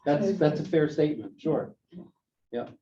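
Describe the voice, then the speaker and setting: A man hosting a film discussion group in a meeting room, affable and quick to agree with speakers. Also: fairly high pitch, animated, warm tone, slight background noise. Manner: affable, quick to agree, says "right" and "yes" often. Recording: distant, dull lo-fi room recording.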